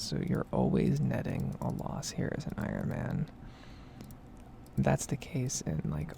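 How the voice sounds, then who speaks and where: A woman softly whispering a casual, rambling ASMR commentary over a game. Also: rumbling low, vocal fry, background noise. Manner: soft-spoken, rambling, casual asides. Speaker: gaming video creator.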